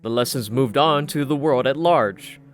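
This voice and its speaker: A man's voice: mid-range voice, normal speed, faint music behind. A man narrating a first-person story from a script in a dry, sardonic manner. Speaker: audiobook narrator.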